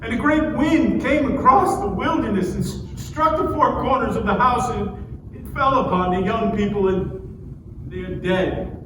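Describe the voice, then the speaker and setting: Man as high-voiced alarmed messenger, heavy echo. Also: moderate pace. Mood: stricken. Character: alarmed messenger bearing dreadful news. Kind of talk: dramatic stage reading of scripture. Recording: distant echoey hall with noise.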